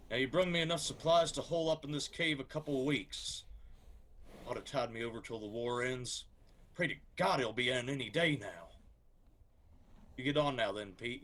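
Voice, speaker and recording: An actor playing a decent, weary Union soldier in hiding in a radio drama, plain-spoken and folksy with an Appalachian accent. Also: male voice, medium pitch, moderate pace, pauses often, a bit bright, slight background noise, lightly acted. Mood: weary, grateful.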